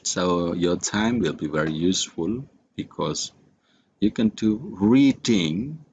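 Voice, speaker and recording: Slow, low-pitched Indonesian-accented man. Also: darkish tone, slight background noise. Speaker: software tutorial presenter. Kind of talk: unscripted screen-recorded tutorial.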